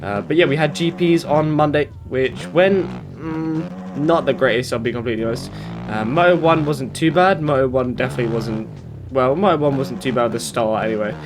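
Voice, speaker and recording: A man's voice: medium pitch, a little fast, over music. A British man with a blocked nose from hay fever, casually rambling through gameplay commentary with lots of fillers and self-corrections. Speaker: gaming YouTuber. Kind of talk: casual commentary while playing. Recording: game engine noise behind. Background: motorbike game engine sounds.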